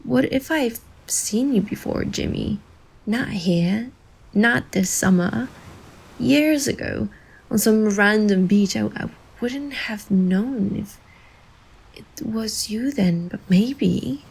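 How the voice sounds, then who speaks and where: A playful, thoughtful young woman, voiced by an actress in a bedtime audio drama. Her voice is husky with a creaky edge, and she speaks slowly and intimately over soft guitar music. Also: mid-range voice, heavily acted, rough recording. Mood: wondering, tentative.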